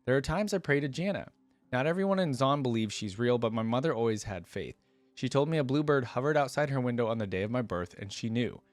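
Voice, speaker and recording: A man's voice: medium pitch, expressive, fast, no echo, soft background music, lightly acted. A man reading a first-person story as a downcast, bitter young male inventor from a smoggy slum, in a plain, resigned manner. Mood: sad, resigned.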